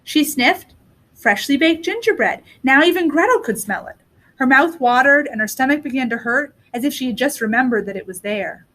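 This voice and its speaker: A woman reading a children's story aloud in an expressive storytelling style. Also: high-pitched, moderate pace. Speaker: storybook reader.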